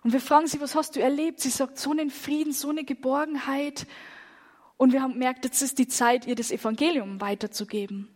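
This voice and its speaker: Woman, high voice, church talk, earnest, moved storytelling. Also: fairly even intonation, a little fast. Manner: earnest, moved storytelling, drops to a hush at grave moments. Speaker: church speaker on persecuted Christians. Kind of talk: sermon-style testimony.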